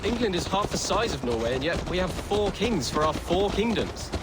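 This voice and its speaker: Eager high-voiced young English prince. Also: male voice, big pitch swings, normal speed. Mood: earnest. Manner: polite, inquisitive, well-spoken. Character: earnest, curious young English prince, courteous. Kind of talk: video game cutscene acting. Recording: heavy outdoor background noise.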